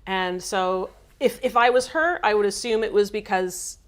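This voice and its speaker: A woman's voice: medium pitch, normal speed. A woman with a Canadian accent, a guest on a live book club stream, talking in a thoughtful, chatty way with frequent fillers. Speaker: guest from the game studio. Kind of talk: casual live chat.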